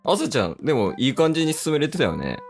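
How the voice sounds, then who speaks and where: A man's voice: a little high, sing-song, quick pace. A man with a Kansai accent hosting with casual comic banter. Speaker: host. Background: plucked guitar music.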